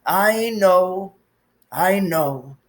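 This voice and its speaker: A calm old wizard from a storybook, acted out by a man reading aloud. He speaks very slowly in a raised voice, heavy, firm and serious. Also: high-pitched, voice pushed up, full character voice. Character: calm, grave, wise old male wizard.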